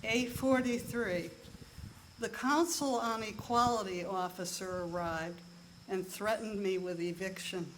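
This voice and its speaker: A woman with a soft voice performing a deadpan comic monologue at a live show, in a measured, list-like delivery with timed pauses. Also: mid-range voice, slight background noise, poor audio.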